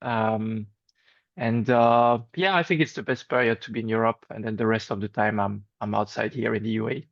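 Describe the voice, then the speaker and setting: A man's voice: lowish voice, fairly even intonation, a little fast, gravelly, no echo. A man with a French accent, a guest in a casual video call chat, speaking hesitantly with frequent fillers and restarts in a friendly way. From France. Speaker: interview guest. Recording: dull lo-fi video call audio.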